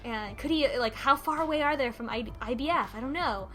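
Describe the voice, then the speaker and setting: A woman's voice: sits high, fairly quick, bright, forceful tone, faint music behind. A woman co-hosting a podcast, casually retelling a story from notes in an informal, sweary, mock-dramatic way. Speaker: podcast co-host recapping a game.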